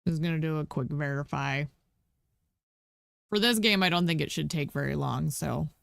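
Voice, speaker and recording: Croaky woman streamer thinking aloud. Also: low voice, moderate pace, no room sound, studio quality. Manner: thinking aloud, many ums, chatty asides to herself. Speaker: game streamer. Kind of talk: casual gameplay commentary.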